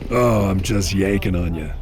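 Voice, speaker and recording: A man's voice: low voice, moderate pace, background noise, close to an everyday voice. A man playing a tough, teasing, shady customs officer in a sci-fi audio drama, folksy and needling. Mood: needling, sly.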